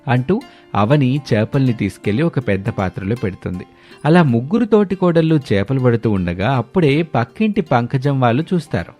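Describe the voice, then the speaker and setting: A man narrating an animated moral tale as a scripted storyteller. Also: medium pitch, brisk, soft background music.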